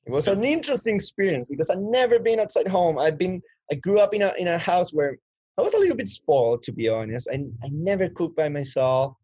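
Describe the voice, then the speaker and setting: A man's voice: high-pitched, very animated, fast, dark tone, breathy edge, no room sound. A man in his twenties from Chile, telling his life story as an interview guest in a rambling way with many restarts. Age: 20s. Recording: dull lo-fi dry sound.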